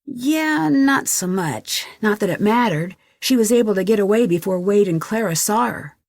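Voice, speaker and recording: A woman's voice: mid-range voice, expressive, moderate pace, creaky, darkish tone, dry recording, clean studio sound. An actress playing a curious, level-headed young female detective, chatting earnestly and a little wryly in a video game. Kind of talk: conversational game dialogue, one side of phone calls.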